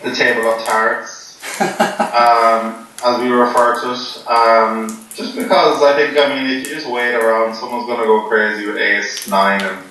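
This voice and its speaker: A man chats casually in a deadpan, jokey way, wandering through his points with plenty of ums. He sounds far from the microphone in a very echoey room, with faint noise behind him. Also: medium pitch, unhurried. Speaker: poker player being interviewed. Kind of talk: joking casual chat.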